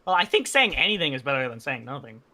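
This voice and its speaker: A man's voice: medium pitch, very animated, fast, pushed and bright. A man in a casual group gaming chat, talking bluntly and argumentatively and swearing freely.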